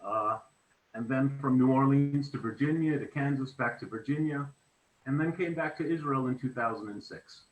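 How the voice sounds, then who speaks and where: A man's voice: mid-range voice, normal speed. A middle-aged man from South Africa talking as a guest on a video call, hesitant and restarting his sentences. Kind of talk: casual interview chat. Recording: echoey room.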